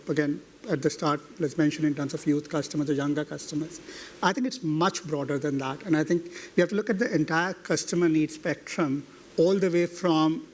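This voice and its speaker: Higher-pitched man explaining confidently. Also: a little mellow. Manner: confident, explanatory, structured points. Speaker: banking executive, panelist. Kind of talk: conference panel talk. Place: conference stage.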